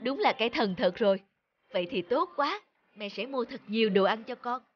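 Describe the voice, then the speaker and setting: A woman playing a stern but loving peasant mother in a children's cartoon, dramatic and expressive. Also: fairly high pitch, moderate pace, lo-fi sound, natural voice. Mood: surprised, pleased.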